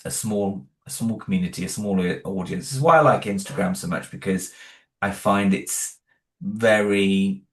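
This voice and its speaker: A man's voice: low voice, echoey room. A man, creator of a horror audio series, answering interview questions casually and hesitantly, with many restarts and fillers.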